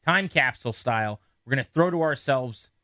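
A man hosting a sports podcast with conversational commentary and deliberate, emphatic phrasing. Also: medium pitch, lively intonation, sharp tone, no echo, studio quality.

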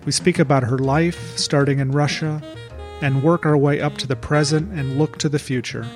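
A man hosting a podcast who introduces his guest and asks relaxed, curious questions. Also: a little high, normal speed, faint music behind. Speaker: podcast host. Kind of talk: podcast interview.

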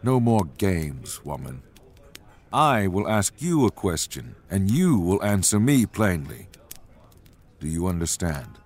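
A wise old king speaks in a deep, unhurried voice, as a male narrator puts him on. He is calm and commanding, with a rising and falling delivery that lands each word. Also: exaggerated voice. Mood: stern. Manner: slow and deliberate.

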